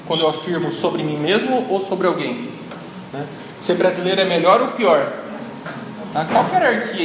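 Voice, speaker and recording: A man giving a classroom lecture, thinking aloud and tagging his sentences with frequent questions to the listeners. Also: high-pitched. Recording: echoey hall, noisy ambience.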